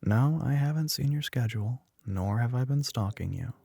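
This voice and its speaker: A man playing a smug, teasing young telepathic classmate in a soft-spoken ASMR roleplay, dry and matter-of-fact. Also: mid-range voice, lively intonation, moderate pace, vocal fry, husky, no echo.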